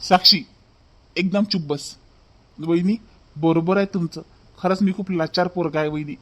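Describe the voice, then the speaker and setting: A man plays an upset young husband in a cartoon family drama. His voice sits high for a man. He scolds sternly. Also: steady tone, moderate pace, fairly bright tone.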